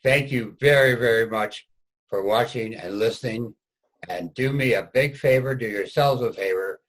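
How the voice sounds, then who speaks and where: A man's voice: mid-range voice, normal speed. A man, a narrator, making an informal closing appeal to an audience. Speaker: writer, director and narrator.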